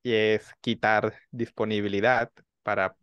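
A man in his thirties, a student in an online language class, speaking slowly and reflectively into a desk microphone in a small room. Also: fairly low pitch, little pitch movement, a bit bright, clear, resonant tone, no room sound, highs cut off. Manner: slow, reflective, measured. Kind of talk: online language lesson chat. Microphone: desk mic on boom arm.

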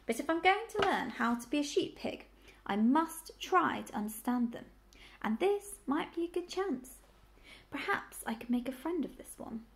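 A woman's voice: sits high, animated, normal speed, pitched above the performer's own voice. A woman voicing a polite, innocent young boy piglet in a storybook, earnest and eager in manner. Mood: polite, jolly.

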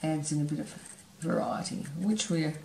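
Low-voiced woman demonstrating painting. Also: normal speed, vocal fry, reverberant, slight background noise. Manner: relaxed, thinking aloud while painting. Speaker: painting teacher. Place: studio table, camera overhead.

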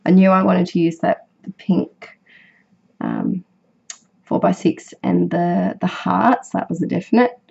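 A young woman talks through her scrapbooking in a low, croaky, husky voice, worn rough by illness. Her tone is warm and mellow, and she rambles with fillers and corrects herself as she goes. The recording is dull and lo-fi. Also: huge pitch swings, a little slow. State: croaky, sick voice. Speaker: scrapbooking vlogger. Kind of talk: casual voice-over narration of a craft process.

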